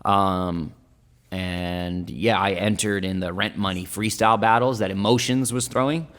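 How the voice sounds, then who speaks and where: Young guy with german and italian roots, lowish voice, rambling slangy storytelling, studio with a long reverb tail. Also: fairly even intonation, measured pace, a bit bright, slight background noise. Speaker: guest rapper. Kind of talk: casual podcast interview.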